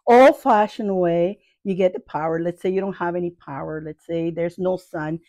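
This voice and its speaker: Female vlogger, middle-aged, lowish pitch, casual review chat, playful and repetitive. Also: moderate pace, warm tone, dry recording. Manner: playful, addresses viewers affectionately, repeats phrases. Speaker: video host reviewing products. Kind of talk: casual chatty product review. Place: small cluttered room indoors.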